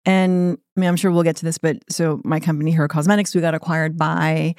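A woman's voice: low-pitched, little pitch movement, quick pace, darkish tone, dry recording, clean studio sound. A woman in her thirties, from Korea and speaking with an American accent, talks conversationally as a founder in an interview, peppering her speech with "like.". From South Korea. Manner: upbeat, conversational, frequent "like" fillers. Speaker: guest, company founder.

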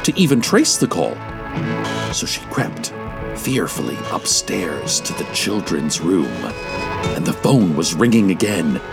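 A man playing a theatrical radio host who delivers spooky monologues in an expressive, deliberate manner. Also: deep voice. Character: spooky radio show host, chatty, theatrical, naively unbothered.

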